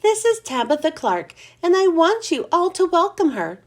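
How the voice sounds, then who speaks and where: A woman voicing a kind but firm female schoolteacher who gives brisk classroom instructions in a lifted voice. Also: very high voice, very animated, voice pushed up. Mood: firm, kindly.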